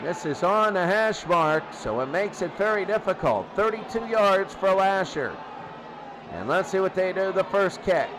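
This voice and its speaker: High-pitched man calling football, noisy recording. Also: expressive, moderate pace. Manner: repeats phrases, short clipped calls. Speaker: play-by-play announcer of a football game. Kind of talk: excitable sports play-by-play commentary.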